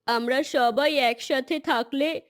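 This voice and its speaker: A woman's voice: high-pitched, slow. A woman voicing a loyal grown-up female bird in a cartoon, with an earnest manner. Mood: loyal, rallying. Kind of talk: cartoon acting.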